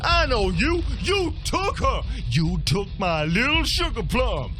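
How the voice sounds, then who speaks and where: A man's voice: very high voice, huge pitch swings, takes it slowly, noisy background, full character voice. A man playing an enraged, jealous, brutish warrior in a fantasy video game, ranting threats melodramatically. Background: music.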